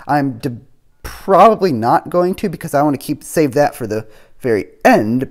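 A man casually narrating a show-and-tell of his building project at home, talking things through with fillers as he goes. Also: medium pitch. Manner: relaxed, thinking aloud with fillers. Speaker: hobby model builder. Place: home room with model on table.

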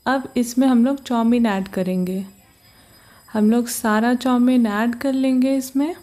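Slow-paced woman narrating a recipe. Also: a little high, steady tone, dark tone. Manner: slow, patient, repeats "ye dekhiye". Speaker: cooking channel host. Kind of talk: step-by-step recipe voice-over. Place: home kitchen.

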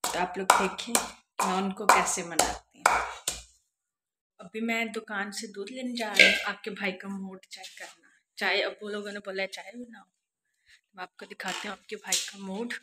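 A woman's voice: mid-range voice, little pitch movement, moderate pace. Young woman, casual village vlog, phone mic, noisy outdoor courtyard. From Pakistan. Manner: chatty, addresses viewers as friends. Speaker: vlogger. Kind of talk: casual vlog talk to camera. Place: rural home courtyard, outdoors.

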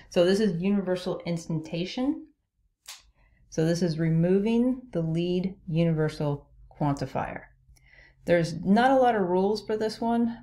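Low, mellow-voiced woman teaching logic. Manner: explanatory, checks in with "okay?". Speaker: logic instructor. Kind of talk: lecture over slides. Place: voice-over on screen recording.

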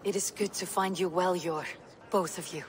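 An actress playing a loyal, blunt Viking warrior woman in a video game, measured, stern and commanding. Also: fairly low pitch, steady tone, background noise, lightly acted. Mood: grave, resolute. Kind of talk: video game cutscene acting.

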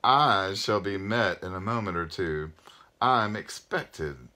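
A man's voice: low-pitched, lively intonation, slow pace, sharp tone, exaggerated voice. A man voicing a fussy, respectable male ghost with an indignant, haughty manner, reading from a story.

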